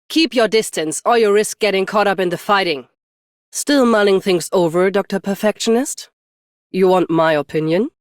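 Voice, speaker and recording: A woman's voice: mid-range voice, no room sound, clean studio sound, close to an everyday voice. A woman playing a stoic, battle-hardened champion swordswoman and bodyguard in a video game, restrained and commanding. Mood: stern, commanding. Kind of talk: scripted game character voice lines.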